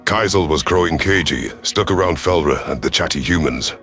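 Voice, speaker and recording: Male reader as gruff alien rebel commander: very low, proud, sardonic, stern, first-person sci-fi narration. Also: moderate pace, a bit bright, soft background music. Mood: stern, measured. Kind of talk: first-person scripted audio story narration.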